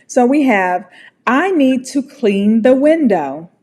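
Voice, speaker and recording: Slow, friendly American woman teaching. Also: a little high, sing-song, a little mellow. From United States. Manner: friendly, encouraging, slow and deliberate. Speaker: English pronunciation teacher. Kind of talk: casual online pronunciation lesson.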